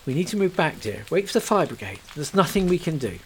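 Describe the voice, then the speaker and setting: A man voicing a kindly, brisk middle-aged woman who drives a milk float and calls people dear. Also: fairly high pitch, sing-song, slight background noise, pitched above the performer's own voice. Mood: calm.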